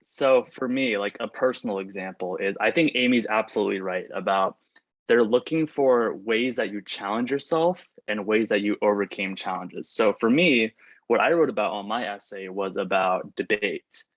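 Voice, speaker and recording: A young man whose first language is Chinese talks through student questions in a relaxed, rambling way, with plenty of "like" and false starts. His voice sits low. The recording is dull and dry. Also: normal speed, dry recording. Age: young adult. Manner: chatty, many "like" fillers and restarts. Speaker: student panelist reading out questions. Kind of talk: casual Q&A chat.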